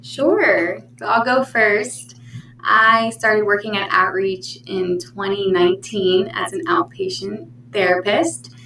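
A woman's voice: mid-range voice, steady tone, slow pace, gravelly, bright, forceful tone. Woman counselor, echoey distant mic. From Pennsylvania, USA. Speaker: counselor and program manager. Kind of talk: casual interview answers. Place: library meeting room. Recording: roomy, distant mic, faint hum.

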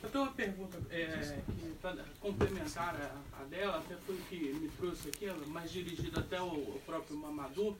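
A man with a Brazilian Portuguese accent asking a spontaneous question from the audience, in a careful, wordy and halting way. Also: fairly high pitch. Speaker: audience member. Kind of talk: spontaneous question at a public talk. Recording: distant microphone, clear background noise.

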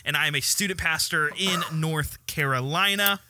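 A man's voice: a little high, normal speed, pushed and bright, dry recording. A young man, a student pastor, co-hosting a casual podcast chat, joking and laughing often.

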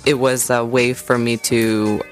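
A woman's voice: rumbling low, level tone, unhurried. Woman, singer-songwriter guest, informal interview chat, thinking aloud, faint background music.

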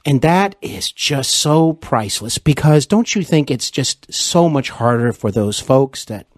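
Man, interview host, casual chat, effusive, folksy, deliberate halting phrasing, dry room. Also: medium pitch, expressive, no echo. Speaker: podcast host interviewing a guest.

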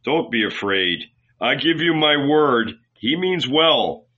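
A man voicing a courteous, steady Roman centurion, firm and reassuring, in a story reading. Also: mid-range voice, slow pace, highs cut off.

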